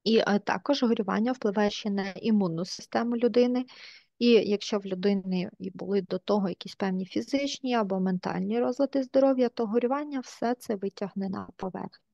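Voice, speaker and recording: Woman interpreting a webinar: measured, careful, heard through muffled, dry video call sound. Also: medium pitch, gravelly, dark tone, no room sound. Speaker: interpreter and moderator.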